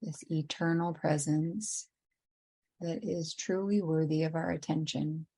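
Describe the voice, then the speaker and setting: Low, mellow woman guiding meditation. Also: fairly even intonation, husky, low-quality recording. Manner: slow, unhurried, gently inviting delivery with long pauses. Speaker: meditation guide. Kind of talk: guided meditation narration.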